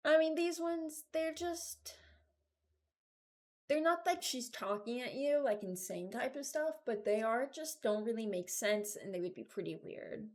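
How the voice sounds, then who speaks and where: A woman's voice: a little high, animated, measured pace, a little mellow. A woman giving casual reaction commentary as a video host, rambling and thinking aloud as she ranks game voice lines.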